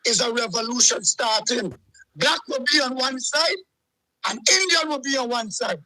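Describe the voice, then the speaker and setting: A man's voice: very high-pitched, lively intonation, normal speed, pushed and bright, dry recording, poor audio. A man, calling in to a show and ranting heatedly, repeating himself. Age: 40s. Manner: agitated, repetitive, keeps saying "let me tell you something". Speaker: caller. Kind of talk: heated call-in rant.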